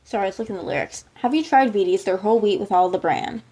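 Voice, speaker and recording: A woman's voice: mid-range voice, quick pace, dark tone. A woman hosting a casual explainer and reaction video, chatting to viewers with conversational asides. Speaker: host of a reaction show.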